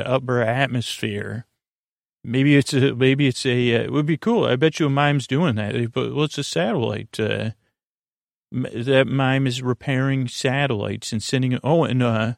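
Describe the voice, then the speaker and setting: Creaky-voiced man, rambling bedtime podcast host. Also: medium pitch, no echo, clean studio sound. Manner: meandering, halting, stammering, trails off into tangents.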